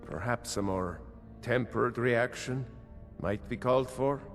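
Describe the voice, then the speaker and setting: An actor playing a measured, diplomatic court steward in a fantasy game. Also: male voice, medium pitch, long reverb tail, faint music behind, natural voice. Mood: calm authority.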